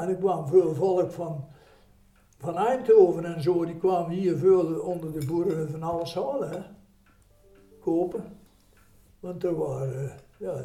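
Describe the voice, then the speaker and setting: An elderly man with a Brabant Dutch accent, reminiscing informally in halting, repetitive phrases that trail off. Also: on the high side, warm tone, reverberant. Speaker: eyewitness recalling wartime childhood.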